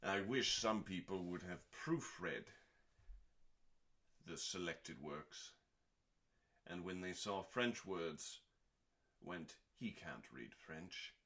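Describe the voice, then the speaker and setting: A man hosting a dramatic cookbook reading, delivering recipes in a measured, theatrical way with deliberate pauses and deadpan asides. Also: low-pitched. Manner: theatrical, measured, with pauses between short phrases.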